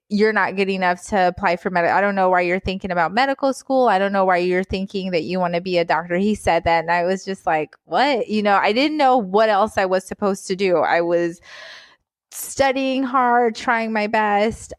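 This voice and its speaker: A woman from Guyana, a physician guest, telling her story in a casual interview, animated and laughing often. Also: medium pitch, normal speed, no room sound. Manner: animated, laughs often, repeats words for emphasis.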